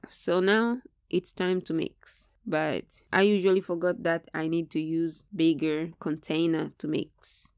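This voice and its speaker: A young woman with a Tanzanian accent explains a small kitchen gadget in plain, step-by-step terms. Her voice sits a little low and carries a gravelly fry. The recording is close and dry. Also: steady tone, unhurried, hardly any pauses, dry recording. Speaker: product reviewer and Swahili teacher. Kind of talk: casual product review vlog.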